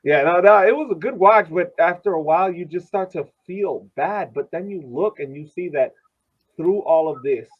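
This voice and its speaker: A man co-hosting a soccer podcast in casual chat, halting and repeating words as he searches for phrasing, on dull lo-fi audio. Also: sits high, breathy edge. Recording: dull lo-fi sound.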